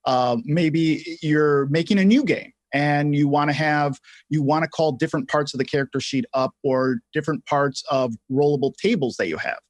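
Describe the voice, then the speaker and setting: A man co-hosting a livestream, giving casual tutorial explanations in halting phrases with some repeats. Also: a little high, steady tone, no room sound. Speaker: livestream co-host.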